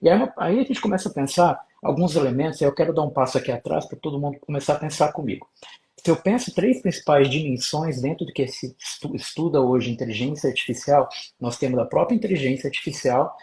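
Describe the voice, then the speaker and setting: Fast-talking professor. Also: male voice, a little high, a little mellow. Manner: fast, explanatory, asks colleague questions. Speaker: researcher and professor, host. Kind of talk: didactic panel talk.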